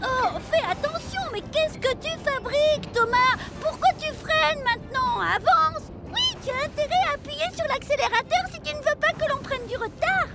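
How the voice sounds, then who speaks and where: A woman's voice: very high-pitched, expressive, normal speed, gravelly, very noisy, exaggerated voice. A woman voicing a fussy, scolding female railway coach in a children's cartoon. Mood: alarmed, indignant. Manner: scolding, complaining. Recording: loud music behind the voice.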